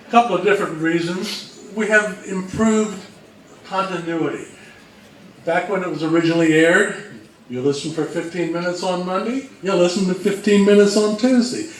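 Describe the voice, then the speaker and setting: A man with a fairly high-pitched voice gives an explanatory talk. He speaks in measured, emphatic phrases. The recording is roomy and distant, with a little background noise. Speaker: co-presenter at an old-time radio convention talk. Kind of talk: informal explanatory presentation. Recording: echoey, distant, faintly noisy live recording.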